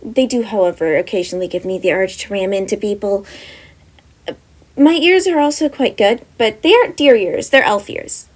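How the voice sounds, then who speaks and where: A woman voicing a cheerful young deer-elf wizard girl who runs an academy, talking brightly and eagerly. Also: mid-range voice, animated, normal speed, lightly acted.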